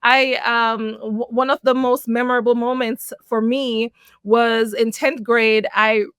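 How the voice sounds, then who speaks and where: A middle-aged woman from Jamaica, a podcast guest telling her life story in an animated way, laughing often. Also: fairly high pitch, slow pace, clean tone, no room sound, studio quality. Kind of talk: personal storytelling in an interview.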